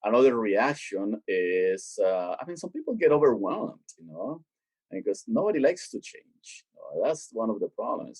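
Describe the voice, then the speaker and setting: A man's voice: deep voice, husky, dry recording, rough recording. A man speaking as a theologian guest in an interview, explaining his work in a conversational way and growing emphatic as he makes his points. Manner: grows animated and emphatic when making a point. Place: lives in Boston.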